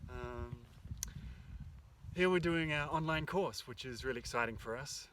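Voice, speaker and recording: A man speaks calmly and reflectively, pausing often as he gathers his thoughts. He sits out in the countryside and the mic is some way off, with a faint hum of open air behind him. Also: medium pitch, distant mic. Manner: calm, reflective, hesitant with fillers. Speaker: workshop co-host. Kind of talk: casual spiritual talk. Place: outdoors in the countryside under an oak tree.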